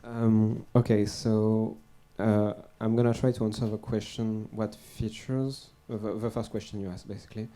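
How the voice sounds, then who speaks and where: Young male researcher on a panel, low and even delivery, hesitant. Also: normal speed, hardly any pauses. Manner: hesitant, many fillers and restarts. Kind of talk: panel discussion answer.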